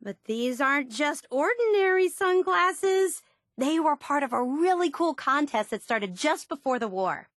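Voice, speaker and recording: An obsessive, wide-eyed young woman fan in a video game. Her voice is high and very animated, recorded close and dry. She speaks with gushing, earnest enthusiasm. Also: measured pace, no echo. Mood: eager, confiding. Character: bubbly, obsessive young woman superfan of a soda theme park. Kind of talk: video game character voice acting.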